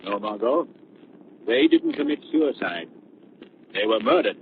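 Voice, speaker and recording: An actor playing a confident, deliberate gentleman detective in a dramatic 1930s radio style. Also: lowish voice, lively intonation. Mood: grave. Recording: tinny, noisy 1930s radio recording.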